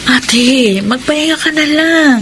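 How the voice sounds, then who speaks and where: A Filipino actress playing a humble, obedient young housemaid and dutiful daughter, polite and earnest, in a radio drama. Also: female voice, high-pitched, unhurried, background noise. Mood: eager, respectful. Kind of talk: Tagalog radio drama acting.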